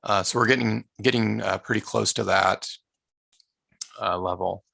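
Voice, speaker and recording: A man with a hoarse, deeply gravelly voice talks through market charts in a live trading webinar. His tone is bright and forceful, and the sound is close and dry. He speaks in a halting way, full of "uh"s and restarts. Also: fairly even intonation, no room sound. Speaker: trading educator presenting a live market session.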